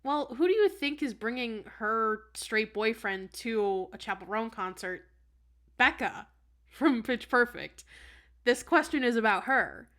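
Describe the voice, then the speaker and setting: A woman with a somewhat high voice speaks casually on a podcast. She is the one posing a question to the panel. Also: animated, a little slow, a bit bright. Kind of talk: casual chat.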